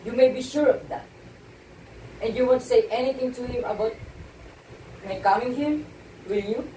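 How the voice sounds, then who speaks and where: Echoey, mellow, high-voiced naive wife, acted by a woman. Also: moderate pace, close to an everyday voice. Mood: relieved, grateful. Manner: earnest, exclamatory. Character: naive, emotional wife, anxious and gushingly grateful. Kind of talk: amateur one-act stage play acting. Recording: echoey, distant, slightly noisy room recording.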